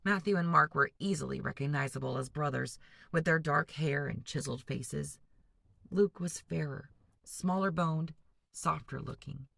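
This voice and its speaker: A woman teacher reading a novel aloud to students, pausing to explain and ask them to picture things. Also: deep voice, creaky, a bit bright, no echo, studio quality. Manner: patient, explanatory, addresses students directly. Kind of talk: classroom read-aloud with discussion breaks.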